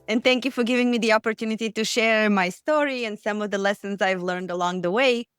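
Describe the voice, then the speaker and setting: A woman's voice: mid-range voice, a little fast, fairly bright tone, smooth, no echo, clean studio sound, faint music behind. A middle-aged woman with a Bulgarian accent, telling her life story as a podcast guest in an earnest, reflective way. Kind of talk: storytelling interview.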